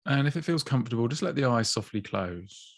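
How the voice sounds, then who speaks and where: A man gently guiding a body scan meditation, slow and soothing with long pauses. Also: medium pitch, animated, no room sound, studio quality. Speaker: mindfulness teacher.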